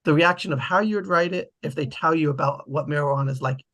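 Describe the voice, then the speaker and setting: A man chatting casually in a group about a script, in a halting, blunt way that often restarts mid-thought. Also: a little high, a bit bright. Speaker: table read participant.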